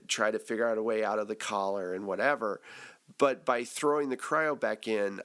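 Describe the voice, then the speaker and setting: A man's voice: lowish voice, fairly bright tone, smooth. A man hosting a podcast, chatting casually in a debrief after a game, easily amused and jokey. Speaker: podcast host and game master.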